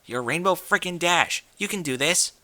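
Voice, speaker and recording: Storybook casting: male reader as cocky young female pegasus, blunt and slangy, bright, animated delivery. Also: a little high, normal speed, dry recording, voice pushed up. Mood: self-urging.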